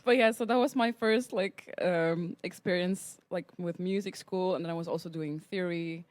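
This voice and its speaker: A woman's voice: fairly low pitch, normal speed, darkish tone. A young woman from Switzerland, a guest musician, telling her story in an interview. She laughs often between phrases and uses many ums and restarts. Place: podcast studio.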